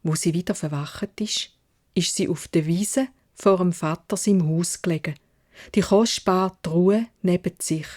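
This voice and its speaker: A woman's voice: lowish voice, unhurried, darkish tone. A woman with a Swiss German accent narrating a fairy tale for children in dialect, as a storyteller.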